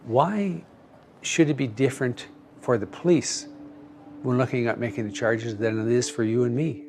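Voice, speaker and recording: A man's voice: medium pitch, normal speed, creaky, a little mellow, faint music behind. A man speaking in a documentary interview.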